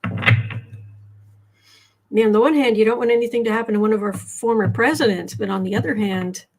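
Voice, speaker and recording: An American woman hosting a casual tarot livestream, chatting haltingly as she comments and reads out viewer messages, often repeating her words. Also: fairly high pitch. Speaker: host of a live tarot and astrology show.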